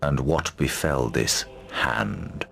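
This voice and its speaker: An actor playing a solemn, grave old lord with a gravelly voice in a fantasy video game. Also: male voice, extremely low pitch, slow, a bit bright, exaggerated voice. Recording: 1990s game audio with background music.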